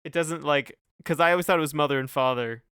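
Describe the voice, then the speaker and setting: A man with a bright, exceptionally smooth and clear voice, recorded dry. He chats casually as a podcast co-host, working through his thoughts hesitantly and often hedging or letting sentences trail away. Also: on the high side, quick pace, dry recording. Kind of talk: casual off-the-cuff podcast discussion.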